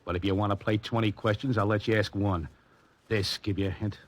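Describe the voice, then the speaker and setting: A man's voice: fairly low pitch, fairly even intonation, fairly quick, lightly acted. An American actor playing a menacing, sarcastic gangster henchman in a 1940s radio crime drama, tough and taunting.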